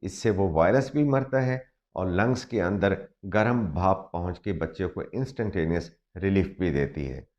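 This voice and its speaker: A man talks as a doctor giving health advice, his voice sitting low and his throat slightly sore. He explains things earnestly and switches into English to stress a point. The sound is muffled and lo-fi. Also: lively intonation, normal speed, few pauses, darkish tone. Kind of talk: health advice talk to camera. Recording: muffled lo-fi recording.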